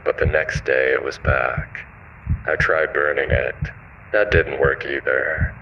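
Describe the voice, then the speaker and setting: Super deep voice guy reading a creepy horror story, measured and ominous, kinda muffled recording w a bit of static noise. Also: a bit bright. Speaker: narrator. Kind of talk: scripted horror story narration.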